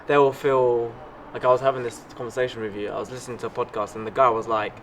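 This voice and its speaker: A man's voice: medium pitch, flat delivery, quick pace, a bit bright, rough recording. A young man chatting casually and earnestly as a fundraiser and host. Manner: earnest, informal. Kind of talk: casual chat. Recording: faint outdoor traffic noise.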